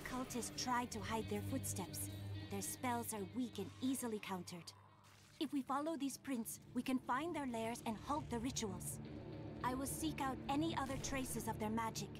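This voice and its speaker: A woman playing a mysterious, calm enchantress in a fantasy video game, speaking in measured, formal, old-fashioned phrasing. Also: fairly high pitch, music in the background, natural voice. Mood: calm, helpful.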